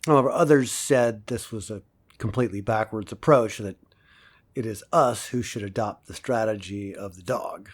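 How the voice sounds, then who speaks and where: An actor playing a philosophical male professor lecturing a class in an audio drama, deliberate and rhetorical, with emphatic pauses. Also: lowish voice, close to an everyday voice. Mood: wry.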